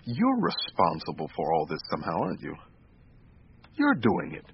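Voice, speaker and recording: An actor playing a harried, desperate office designer in a radio drama, agitated and given to stammering pleas. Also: male voice, sits low, huge pitch swings, moderate pace, heavily acted. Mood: alarmed, angry. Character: harried, desperate male office designer whose life unravels. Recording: dull lo-fi recording with noticeable noise.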